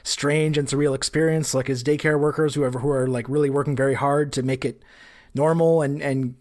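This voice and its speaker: A man's voice: medium pitch, fairly even intonation, brisk, a little mellow. A man hosting a podcast, chatting casually and hedging with lots of "kind of". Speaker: podcast host. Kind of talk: casual interview chat.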